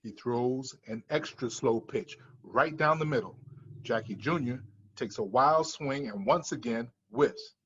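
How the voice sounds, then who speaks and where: A man's voice: mid-range voice, unhurried, fairly bright tone, husky. A man reading screenplay stage directions as scripted narration. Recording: dull lo-fi sound, music behind.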